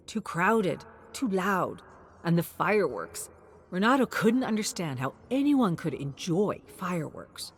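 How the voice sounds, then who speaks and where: A woman reading a scripted dramatic story in an expressive, measured way, in short phrases. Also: sits low, a little hiss or hum. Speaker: narrator.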